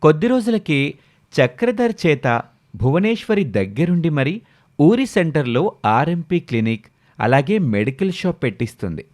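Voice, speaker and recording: A man's voice: fairly high pitch, very animated, moderate pace, darkish tone. A man narrating a scripted village moral story.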